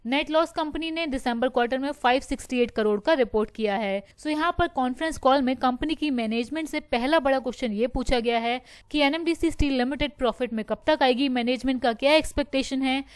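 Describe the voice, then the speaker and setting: A woman's voice: high voice, clean tone, no room sound. A woman hosting a stock market news video, explaining steadily and slipping English phrases into her Hindi.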